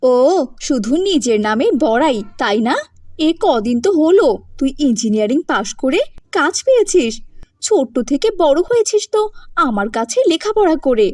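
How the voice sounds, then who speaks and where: Casting: scolding, proud eldest sister for a cartoon, acted by a woman, high voice with big swings. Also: very animated, moderate pace, darkish tone, no echo, studio quality. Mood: indignant scolding. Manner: scolding, self-righteous. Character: bossy, grumbling eldest sister, a proud schoolteacher. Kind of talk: cartoon voice acting.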